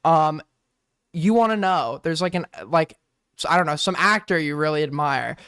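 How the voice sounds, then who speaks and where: A male streamer host with a high, smooth, resonant voice, recorded dry and close. He argues insistently, stacks repeated words and talks over the other speaker. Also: moderate pace, bright, forceful tone, no room sound. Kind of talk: heated casual debate.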